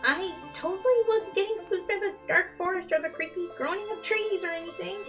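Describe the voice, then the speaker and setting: Young cartoon unicorn mare acted by a woman, high-pitched, awkward and jittery, music underneath. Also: brisk, a bit bright, far from the mic. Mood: panicky, fake cheer. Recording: music bed behind the voice. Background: instrumental music.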